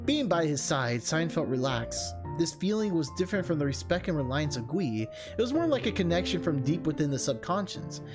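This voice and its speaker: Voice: mid-range voice, big pitch swings, brisk, few pauses. A video game streamer with an informal way of talking, narrating and chatting to viewers. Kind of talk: reading a visual novel aloud, casual vlog chat. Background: music.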